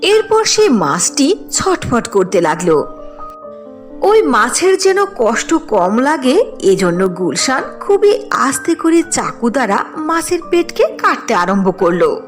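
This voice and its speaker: High, animated woman storyteller. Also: slow pace, soft background music. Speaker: story narrator. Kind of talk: scripted cartoon folk-tale narration.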